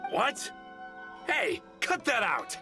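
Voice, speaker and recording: A man's voice: high voice, huge pitch swings, very slow, heavily acted. An actor playing a stern, exasperated male samurai in an anime game. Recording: music behind the voice.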